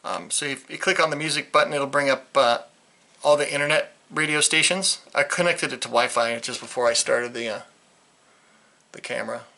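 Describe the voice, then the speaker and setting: A man's voice: mid-range voice, fairly even intonation, a little fast, vocal fry, bright, forceful tone, a little hiss or hum. An American man giving a casual, unscripted product review in a jokey, digressive way. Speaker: vlogger reviewing a radio. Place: indoors in a concrete-walled bunker.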